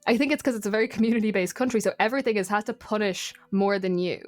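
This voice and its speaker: An Irish woman speaks as a chatty podcast guest at a middle pitch. She tells stories casually, with wry, self-deprecating humour. Faint music plays behind her. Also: racing. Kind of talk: casual storytelling chat.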